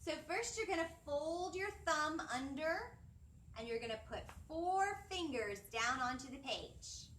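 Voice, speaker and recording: Very animated high-voiced woman reading to children. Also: unhurried, slight background noise. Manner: expressive, sing-song, speaking directly to children. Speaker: children's librarian, storytime host. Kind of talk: animated picture-book reading and instruction for young children. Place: library room, seated indoors.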